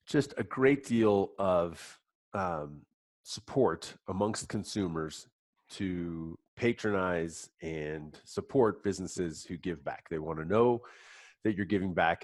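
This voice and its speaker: Deep-voiced man with a stuffy cold. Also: no echo. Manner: halting, many fillers and restarts. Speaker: app company guest. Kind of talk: webinar presentation.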